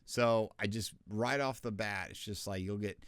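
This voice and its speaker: A man with a deep bass voice, chatting through freelance voiceover tips in a casual, conversational way. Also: moderate pace, fairly bright tone, clear, resonant tone, dry recording, studio quality. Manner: chatty, conversational, with restarts and asides. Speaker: voice actor teaching freelancers. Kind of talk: casual tutorial talk.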